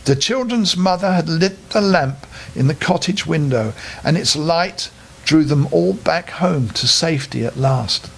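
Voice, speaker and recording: An older man tells a bedtime story with a very animated delivery. His voice sits fairly high for a man and moves expressively through the narration. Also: unhurried, creaky, breathy edge.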